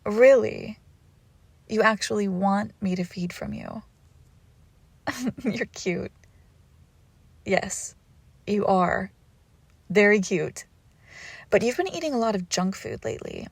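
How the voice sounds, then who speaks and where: A woman's voice: medium pitch, takes it slowly, creaky, long pauses, breathy edge, no room sound, full character voice. Woman acting a teasing vampire girlfriend, crackly close voice. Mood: playful smug.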